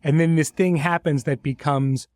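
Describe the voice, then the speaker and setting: A man's voice: on the high side, fairly even intonation, moderate pace, darkish tone, no echo, studio quality. A man talking reflectively as a series creator in a behind-the-scenes podcast, explaining things articulately with vivid imagery.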